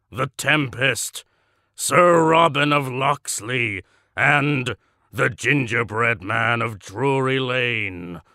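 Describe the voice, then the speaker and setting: A man performs a self-important male crank reading out his letter in a comic monologue. His voice is gravelly and forceful, unhurried and full of grandiose pauses, recorded dry and close. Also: mid-range voice, no room sound, heavily acted. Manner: pompous, grandiose, theatrical pauses. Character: pompous, deluded male crank writing letters to a newspaper. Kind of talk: comedic monologue read as a letter.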